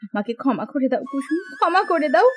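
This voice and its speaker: A humbled young sister-in-law in a family cartoon, played by a woman. Her voice is high and earnest, with a pleading, remorseful manner. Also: sing-song, quick pace, soft background music. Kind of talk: Bengali moral cartoon voice acting.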